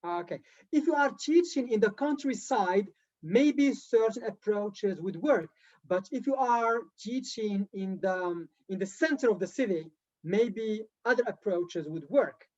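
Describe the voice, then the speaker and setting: A man's voice: sits high, expressive, measured pace, darkish tone, lo-fi sound. A man leading a live online teacher-training session, lecturing in an encouraging way and repeating his key points. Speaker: TESOL trainer.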